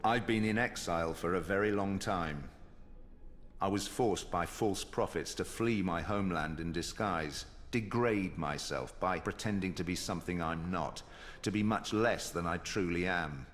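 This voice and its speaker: An actor playing a smug, vengeful exiled god in a video game cutscene, speaking in a measured, grandiose, wry way. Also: male voice, low-pitched, a bit bright. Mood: wry, brooding. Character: exiled ancient male god villain, smug, vengeful, theatrical. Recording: long hall reverb, faint background noise.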